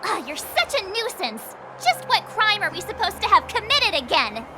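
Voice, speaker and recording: A woman's voice: very high voice, very animated, normal speed, background noise. A woman voicing a perky, cheeky teenage girl adventurer in an anime game, exclamatory and playful. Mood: indignant. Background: game music.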